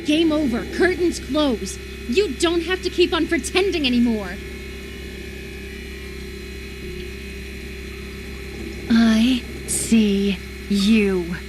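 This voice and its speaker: A woman's voice: fairly high pitch, slow, over music. A woman voice-acting an unhinged, obsessive lover in a horror visual novel, intense and volatile, swinging between tenderness and menacing fury. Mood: cold menace. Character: unhinged, obsessive lover convinced an impostor replaced their beloved.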